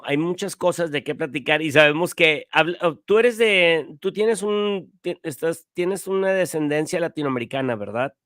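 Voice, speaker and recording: A male radio host with a smooth, resonant voice, pitched a little above the average man's, asks a guest his questions. The sound is dry and close, and he repeats words and restarts often as he works out what to ask. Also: fairly bright tone, dry recording. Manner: stumbling, repeats words while framing questions. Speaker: radio show host, interviewer. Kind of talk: bilingual radio interview.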